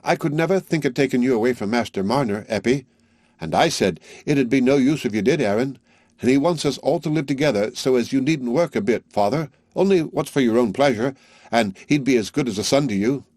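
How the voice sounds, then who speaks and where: A man reading aloud, voicing an earnest, loving young village girl who talks gently and simply. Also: mid-range voice, lively intonation, brisk, no echo, studio quality, lightly acted. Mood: tender.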